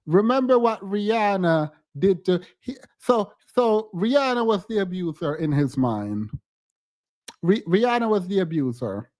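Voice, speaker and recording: A man whose first language is not English hosts a casual livestream, teasing his chat playfully and stretching out words for emphasis. His voice sits a bit high and sounds mellow. The sound is dry and close. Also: expressive, unhurried, no room sound. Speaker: livestream host.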